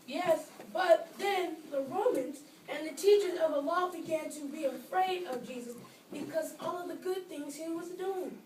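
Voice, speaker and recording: Woman as high-voiced, doubtful boy puppet, echoey. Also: moderate pace, a little mellow. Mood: earnest. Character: doubtful, discouraged boy puppet named Carl, a little vain. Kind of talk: children's puppet show dialogue. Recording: distant, echoey room with faint noise.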